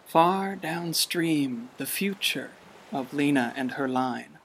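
A woman's voice: low voice, lively intonation, takes it slowly, slight background noise, heavily acted, poor audio. An actress playing a folksy narrator in a radio play, musing and conversational as she watches over a town. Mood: musing, wistful. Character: folksy storyteller watching over a river town's generations. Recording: faint music behind voice.

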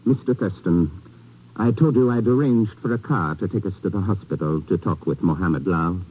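A man portrays a courtly police inspector from a vintage radio drama. He speaks in a deep, dark voice with formal, poetic phrasing. A light hiss runs under the old recording. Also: normal speed, lightly acted, low-quality recording. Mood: grave. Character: courtly, philosophical male Indian police inspector. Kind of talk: 1950s radio drama acting.

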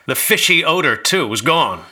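A man's voice: fairly high pitch, sing-song, normal speed, sharp tone, heavily acted. A man acting in a radio drama as a haunted young college student, uneasily recounting horrors. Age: young adult.

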